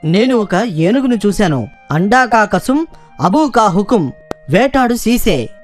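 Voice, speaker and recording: A man's voice: high-pitched, sing-song, a little slow, soft background music. A man voicing an eager, boastful, scheming jackal who pleads his way through a children's cartoon fable. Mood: excited. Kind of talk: children's cartoon story acting.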